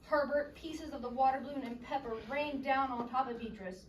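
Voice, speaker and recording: Expressive high-voiced woman reading a storybook, echoey room. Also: moderate pace. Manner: expressive, questioning teacher-like delivery. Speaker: read-aloud storyteller. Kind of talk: interactive storybook narration to children. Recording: distant echoey room with noise.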